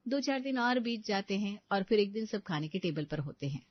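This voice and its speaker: A woman's voice: mid-range voice, animated, a little fast, no room sound. A woman narrates a moral family story as a scripted read. The recording is very dry, with a dull, lo-fi top end.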